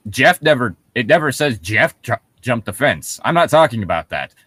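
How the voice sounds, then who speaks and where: A man's voice: mid-range voice, big pitch swings, moderate pace, pushed and bright, breathy edge, dry recording. Guy on a comedy podcast, sweary n mock outraged, voice jumps all over, super dry mic. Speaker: podcast co-host reading and riffing on a story. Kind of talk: casual comedic banter.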